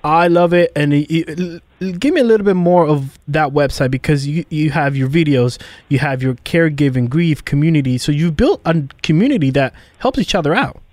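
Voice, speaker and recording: A man hosting a conversational interview, with halting, repetitive phrasing. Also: on the high side, expressive, a little mellow, no echo. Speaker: interview host.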